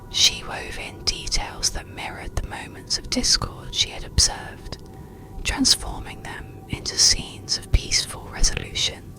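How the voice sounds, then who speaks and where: A narrator telling a bedtime story in a slow, soothing, breathy ASMR whisper. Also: high-pitched, pushed and bright, rough recording. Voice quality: breathy, unvoiced whisper with creak.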